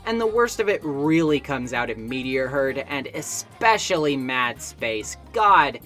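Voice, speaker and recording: A woman with a notably low voice delivers scripted review narration. Her intonation moves around a lot, with wry, opinionated asides in a conversational manner. Faint music plays behind her. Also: very animated, measured pace, a bit bright. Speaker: video essay host and game reviewer. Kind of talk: scripted video essay narration. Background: background music.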